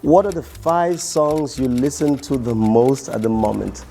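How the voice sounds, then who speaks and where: Man, young adult, mellow dark tone, tired strained voice, halting repeats, faint music underneath. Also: medium pitch, lively intonation, vocal fry. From Cameroon. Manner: halting, with frequent repeated words. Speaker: musician guest. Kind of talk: casual interview, reading out quick-fire questions.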